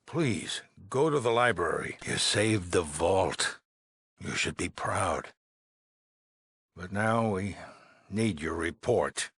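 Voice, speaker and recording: An actor playing a weary, anxious middle-aged male leader of an underground shelter in a video game, with a raspy, gravelly voice and halting, earnest delivery. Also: low voice, animated, fairly bright tone, no echo, studio quality, exaggerated voice. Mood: relieved, solemn. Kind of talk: dramatic game dialogue acting.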